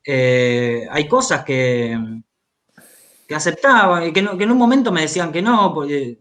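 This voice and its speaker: A man's voice: fairly high pitch, very animated, a little slow, a bit bright. A man with an Argentine accent speaking as an interviewed writer, conversational and hesitant, often repeating words as he goes. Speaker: interviewed author, journalist and biographer. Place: remote video call.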